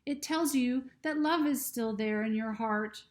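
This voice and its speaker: A woman voicing a wise female ladybug who speaks in a gentle, reassuring way. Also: on the high side, measured pace, pitched above the performer's own voice, close to an everyday voice. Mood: explaining.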